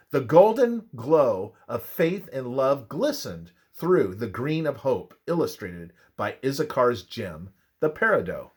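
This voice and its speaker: A man narrates a scripted Bible devotional. His delivery is slow and measured, with a lively, varied intonation. He phrases each line deliberately, as a reader of religious study material. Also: mid-range voice, breathy edge.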